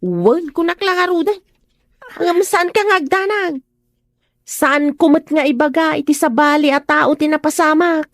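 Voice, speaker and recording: A woman's voice: high-pitched, a little slow, no room sound, studio quality. A woman playing a caring, fretting woman who pleads and scolds, in an Ilocano audio drama. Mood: concerned.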